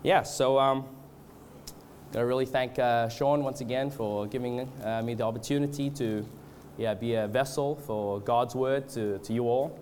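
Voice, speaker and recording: A man from Australia and Canada with a cold, speaking as a preacher in an informal, halting, hesitant way. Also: mid-range voice, fairly even intonation, a little mellow, slight background noise. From born in Australia, moved to Canada. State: has a cold. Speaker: guest preacher at a church revival gathering. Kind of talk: informal sermon with congregational prayer.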